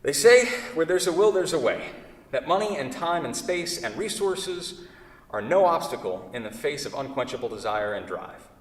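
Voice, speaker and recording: A man's voice: on the high side, very animated, normal speed. In a reverberant hall, away from the mic, a man acts an anguished craftsman devoted to what he has built. He is wordy and fretful and keeps amending his own phrases, in the style of a staged theatre reading. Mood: tender, wistful. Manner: wordy, self-correcting, fretful. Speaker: actor in a staged play reading. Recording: echoey hall, far from the mic.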